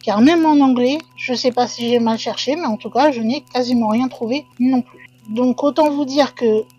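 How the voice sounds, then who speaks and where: A woman narrates a ghost legend for her channel in a chatty, easygoing way, often turning aside to talk to her viewers. Her voice sits fairly high and sounds husky, and the recording is dull and lo-fi. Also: moderate pace, warm tone, soft background music. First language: French. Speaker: channel host. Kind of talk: casual storytelling narration.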